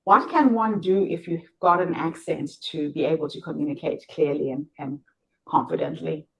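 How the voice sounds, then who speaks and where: A woman's voice: low-pitched, moderate pace, creaky, rough recording. A woman hosting an online interview, a Shona speaker using English as a second language, who asks careful questions and praises the answers. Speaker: interview host.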